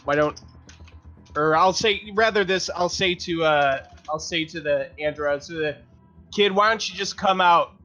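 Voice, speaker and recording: A man's voice: fairly high pitch, a little slow, bright, forceful tone, faint music behind. A man playing a tabletop roleplaying game, casually voicing what his character says and does. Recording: dull, lo-fi voice audio.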